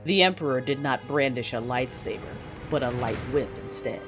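An actress playing an intense, coolly confident Sith warrior woman, narrating a sci-fi audio drama in a husky, measured voice over music. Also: a bass voice. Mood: tense, determined. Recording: music under the voice.

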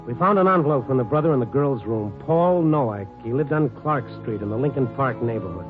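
An actor playing a world-weary, dogged American newspaper reporter, narrating and talking tersely in a 1950s radio drama. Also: male voice, mid-range voice, expressive, brisk, natural voice. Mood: dogged, matter-of-fact. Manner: terse, hard-boiled, conversational. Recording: tinny old radio broadcast, music underneath.

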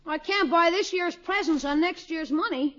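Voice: very high voice, moderate pace, pushed and bright. A performer playing a cheeky, wisecracking young schoolboy nephew in a 1950s radio situation comedy. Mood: brash, curious. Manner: cheeky, exclamatory. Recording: dull old radio recording.

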